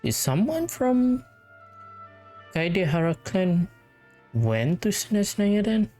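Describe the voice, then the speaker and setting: A man's voice: sits high, very animated, takes it slowly, dark tone, faint music behind. A man giving casual playthrough commentary on a game, muttering offhand reactions. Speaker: gamer commenting on gameplay.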